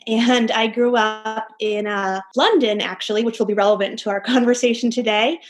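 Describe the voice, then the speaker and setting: A woman's voice: on the high side, steady tone, moderate pace, faint music behind. A woman guest on a casual podcast, talking openly with frequent laughs and self-deprecating humor. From United States.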